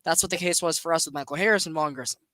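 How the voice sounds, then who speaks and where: Young guy rambling about baseball, bright voice, super dry recording. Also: on the high side, rapid-fire, no echo. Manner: animated, rambling, laughs often. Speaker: podcast host. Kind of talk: casual sports chat.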